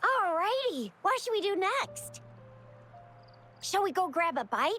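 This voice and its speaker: A woman voicing a bubbly, eager young girl adventurer in a video game, with an excitable, chirpy way of talking. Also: very high voice, measured pace, faint music behind, exaggerated voice. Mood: cheerful.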